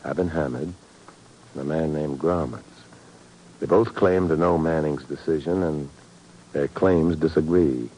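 An actor in a 1950s radio drama playing a cool, worldly secret agent with a dry, wry, unruffled manner. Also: male voice, a bass voice, unhurried, dark tone. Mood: grave, measured. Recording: noisy vintage 1950s radio recording.

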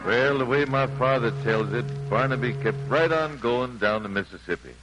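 Guy as homespun radio narrator, medium pitch, old-time storytelling with music behind him. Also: moderate pace, close to an everyday voice, poor audio. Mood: fond storytelling. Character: folksy storyteller retelling his father's tales.

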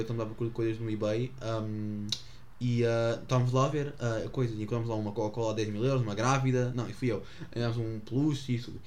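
Rambling teenage vlogger, faint hiss. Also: male voice, medium pitch, a little mellow. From Azores. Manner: rambling, filler-heavy, self-mocking. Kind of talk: casual storytelling to camera.

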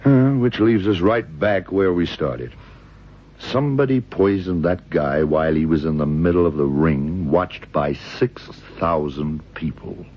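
An actor playing a shrewd, methodical detective in an old radio crime drama, gravelly and deliberate, musing as he questions. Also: low-pitched, animated, heavily acted. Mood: probing, musing. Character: shrewd, methodical middle-aged American male detective. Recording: dull vintage radio drama recording.

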